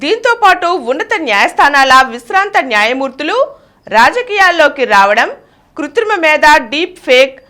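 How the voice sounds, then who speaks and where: Woman, news reader, high voice, animated intonation, scripted bulletin delivery. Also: moderate pace.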